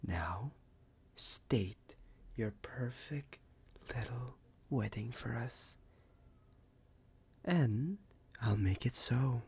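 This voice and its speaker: A man voicing a possessive, smug werewolf alpha in an ASMR roleplay, husky and breathy, often whispering, with teasing deliberate pauses. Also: medium pitch, animated, darkish tone, exaggerated voice. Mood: smug, doting.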